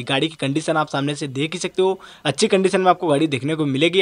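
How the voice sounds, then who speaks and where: A man from India vlogging a walkaround of a used car yard under a shed, giving repetitive salesman-style commentary while filming on his phone. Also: high voice. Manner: brisk, repetitive salesman patter.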